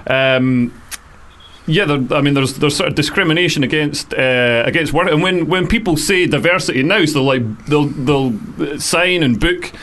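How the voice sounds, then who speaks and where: Scottish male comic, panel guest, jokey and chatty, slightly high voice. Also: moderate pace, a bit bright. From Scotland. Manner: jokey, chatty, laughs easily. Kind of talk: casual panel chat.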